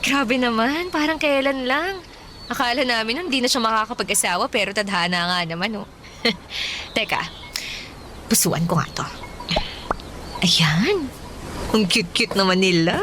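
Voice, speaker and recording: A woman's voice: a little high, moderate pace, pauses often, pushed and bright, a little hiss or hum. An actress playing a sweet, teasing girlfriend in her early thirties in a radio drama, chatty and affectionate. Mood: delighted. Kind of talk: radio drama acting, conversational.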